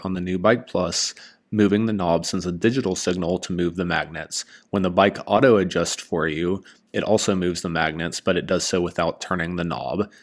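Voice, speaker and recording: Low-voiced man narrating a product review.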